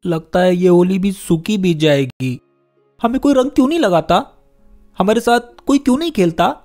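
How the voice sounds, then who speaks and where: A man's voice: high voice, lively intonation, moderate pace, a little mellow, soft background music, pitched above the performer's own voice. A man voicing a poor, wistful little boy in a story, plaintive and pleading. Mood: dejected.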